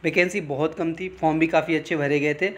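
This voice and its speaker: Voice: medium pitch, steady tone, fairly quick, fairly bright tone. An exam-prep coach, giving an informal explainer in an instructional way, repeating points and often adding short check-in tags.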